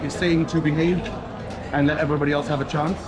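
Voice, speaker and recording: A man's voice: a little high, flat delivery, fast talker, a crowd in the background. A man from Kenya and Switzerland, a travel vlogger, chatting casually on camera while eating outdoors and at a restaurant, listing flavors as he goes. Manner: enthusiastic, eats while talking, lists flavors. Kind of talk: casual on-camera food tasting.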